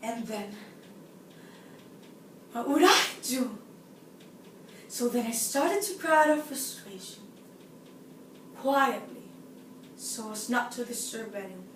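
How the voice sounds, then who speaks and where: A seventeen-year-old woman from Nicaragua playing a frustrated, self-absorbed, unhinged woman in a dramatic, confiding stage monologue that swings between hushed and shouted, recorded in a roomy space at a distance. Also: on the high side, expressive, slow, pauses often. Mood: tearful, simmering. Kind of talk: theatrical audition-style stage monologue. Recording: roomy, distant mic, faint noise.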